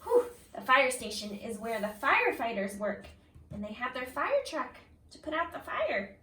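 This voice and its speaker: A woman reading a picture book aloud like a kindergarten teacher, expressively, pausing to put questions to young listeners. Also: on the high side, big pitch swings, pushed and bright. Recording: roomy echo, distant from the mic.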